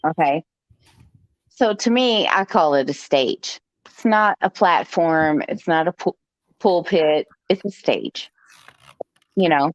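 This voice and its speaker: A woman's voice: low voice, expressive, takes it slowly, no room sound. A woman hosting a live stream, telling a personal story in a chatty, joking way, with frequent "okay" asides. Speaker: host. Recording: dull lo-fi stream audio.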